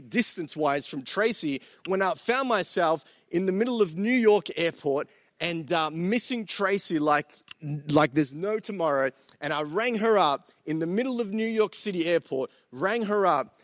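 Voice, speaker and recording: A man's voice: sits high, a bit bright, dry recording. A man born in El Salvador preaching a sermon to his church and home viewers, earnest and repetitive, with many fillers and restarts. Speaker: pastor.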